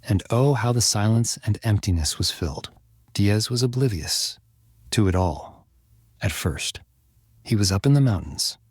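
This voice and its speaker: A man narrates a dramatic serialized story in a low voice with a husky, breath-worn grain. The recording is dry and close, with no room around the words. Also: darkish tone, no echo. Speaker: audiobook narrator. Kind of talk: scripted dramatic fiction narration.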